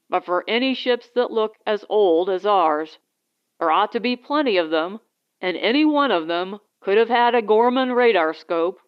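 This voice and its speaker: A woman's voice: mid-range voice, clean tone, muffled recording, lightly acted. A woman voicing a protective husband who captains a small spaceship, giving blunt instructions in an audiobook story.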